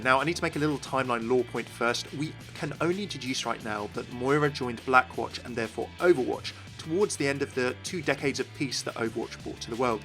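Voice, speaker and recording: A man's voice: mid-range voice, pushed and bright. A man hosting a scripted game lore explainer, addressing viewers directly and with enthusiasm. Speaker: video host, game lore commentator.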